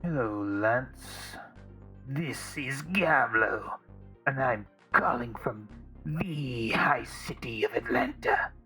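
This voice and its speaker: An actor voicing an eager, dim male goblin phoning a comedy show, strained and stuffy, halting and repeating himself. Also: medium pitch, bright, forceful tone, soft background music. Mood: earnest. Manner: halting, repetitive, seeking approval. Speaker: caller.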